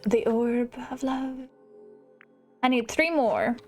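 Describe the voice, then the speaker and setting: A woman's voice: fairly high pitch, slow, a little mellow, faint music behind. A woman hosting a gaming video with chatty, giggly, self-mocking commentary. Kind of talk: casual let's play commentary, reading game dialogue aloud.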